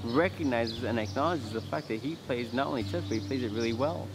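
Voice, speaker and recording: A man, an actor, giving a casual on-camera interview in a halting, rambling way, as if thinking aloud. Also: medium pitch, music in the background. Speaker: actor in a behind-the-scenes interview.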